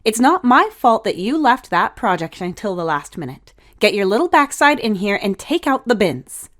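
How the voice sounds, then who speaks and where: A woman's voice: mid-range voice, big pitch swings, moderate pace, fairly bright tone, voice pushed up. A woman voicing a stern, no-nonsense mother in a story, firm and scolding. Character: Lynn, stern no-nonsense mother of two teenagers.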